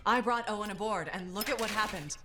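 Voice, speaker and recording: A woman's voice: medium pitch, brisk, noisy background, close to an everyday voice. A woman playing a headstrong, self-blaming pilot in a video game, with direct, urgent, naturalistic delivery. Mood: frustrated. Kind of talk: cinematic video game acting. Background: music.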